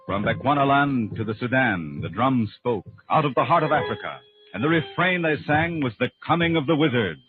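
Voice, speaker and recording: A man's voice: mid-range voice, animated, a little fast, loud music behind the voice. A man doing dramatic, emphatic scripted announcing and narration in a 1940s radio style. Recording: tinny narrow-band old radio broadcast.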